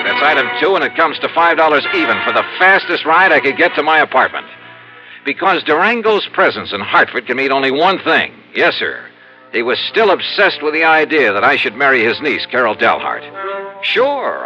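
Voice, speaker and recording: A dull vintage radio recording with music behind features a man acting a brisk American investigator. He is wry, stubborn and emphatic, his pitch moving freely in 1950s drama style. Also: natural voice.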